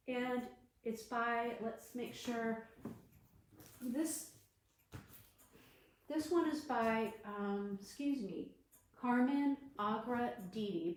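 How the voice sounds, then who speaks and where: A woman librarian reading a storybook aloud to children on a livestream, gentle and encouraging. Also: on the high side, a little hiss or hum. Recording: echoey room.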